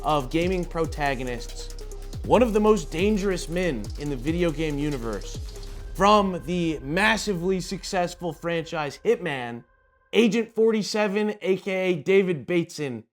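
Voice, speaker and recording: A man's voice: a little high, lively intonation, moderate pace, soft background music. A man hosting a casual interview, talking to his guest in a chatty style. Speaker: interview host.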